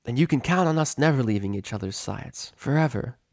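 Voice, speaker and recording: Man voicing a brash, higher-pitched pegasus mare. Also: lively intonation, a little fast, creaky, dry recording. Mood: smug. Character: brash, defiant, loyal young female pegasus pony.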